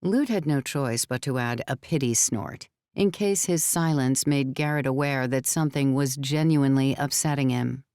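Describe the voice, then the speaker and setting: A woman narrating scripted fiction as an audiobook, in a measured storytelling manner. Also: extremely low pitch, dark tone, clear, resonant tone, dry recording, clean studio sound.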